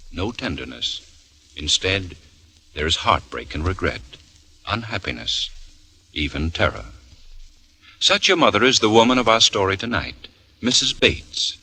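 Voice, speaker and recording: A man in a low but bright, ringing voice narrates with grave, measured weight, sinking to a hush. His pitch moves widely. Faint hiss from an old broadcast lies under it. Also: poor audio. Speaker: drama narrator. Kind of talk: solemn scripted narration, 1940s radio.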